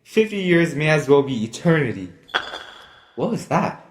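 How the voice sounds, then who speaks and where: A man's voice: a little high, very animated, moderate pace. A man playing a lonely, despairing man trapped in a cave in a musical audio drama, pleading and self-pitying. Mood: gloomy resignation. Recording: roomy echo under loud music. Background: loud plucked guitar music.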